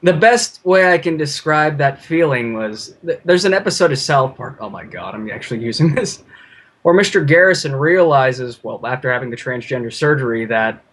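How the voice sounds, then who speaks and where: Male guest, husky mid-range voice, casual and rambling conversational delivery. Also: mid-range voice, animated, normal speed, a bit bright. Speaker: podcast guest, listener. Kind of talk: casual chat.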